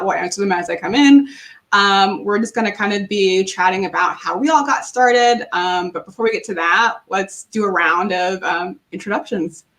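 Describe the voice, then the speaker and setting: Woman from New Jersey as panel moderator, relaxed and filler-heavy, on a video call. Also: medium pitch. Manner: loose, filler-heavy, frequent ums. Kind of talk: casual panel chat.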